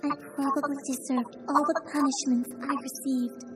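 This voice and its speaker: A woman voicing a gentle, timid young female pony who speaks softly and slowly. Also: sits high, flat delivery, pitched above the performer's own voice, close to an everyday voice. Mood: solemn. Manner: soft, slow, forgiving. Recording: distant voice over loud music.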